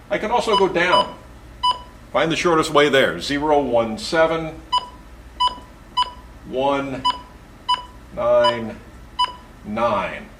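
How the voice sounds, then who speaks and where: Man, instructor, patient and methodical, off-camera how-to voice, light room hiss. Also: mid-range voice. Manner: patient and methodical, explains each button press. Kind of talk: step-by-step tutorial.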